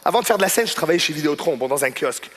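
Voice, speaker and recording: A man's voice: fairly high pitch, lively intonation, rapid-fire, a bit bright, slightly rough, in a large hall. A man performing stand-up comedy, telling stories conversationally and addressing the audience directly. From Morocco or Moroccan family in Quebec. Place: comedy gala stage.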